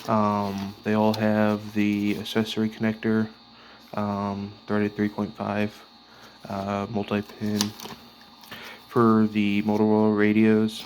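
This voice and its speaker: Low, flat-toned man over noisy background. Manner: halting, piecemeal delivery while handling items. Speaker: radio hobbyist showing his gear. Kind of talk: casual, unscripted show-and-tell of equipment. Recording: clearly noisy background with clicks.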